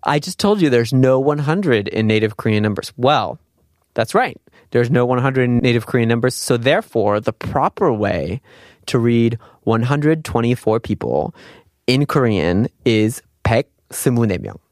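A man's voice: medium pitch, animated, moderate pace, a little mellow, no room sound, clean studio sound. A man teaching an informal language lesson in a conversational, explanatory way, putting questions to the listener as he goes. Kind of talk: informal spoken lesson with examples and quiz questions.

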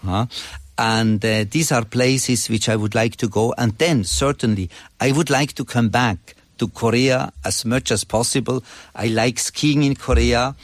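Older Swiss man, halting English. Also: mid-range voice, steady tone, no room sound. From Switzerland. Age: 60s. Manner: halting, non-native English phrasing. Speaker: ambassador, interview guest. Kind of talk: conversational interview talk.

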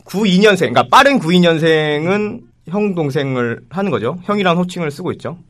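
A man's voice: on the high side, expressive, a little fast, a bit bright. A man hosting a panel debate, posing questions to the two guests and teasing them playfully. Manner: playful, poses questions and teases. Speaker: moderator.

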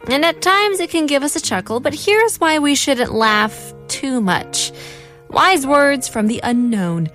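A woman's voice: high voice, lively intonation, a little slow, fairly bright tone, soft background music. A woman hosting a radio segment, reading an essay and then chatting with her co-host, laughing easily. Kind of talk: scripted reading then casual chat.

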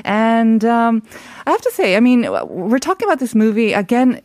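A woman's voice: a little high, expressive, normal speed, a little mellow, no echo. A woman hosting a casual radio talk show, chatty and laughing easily as she steers the discussion.

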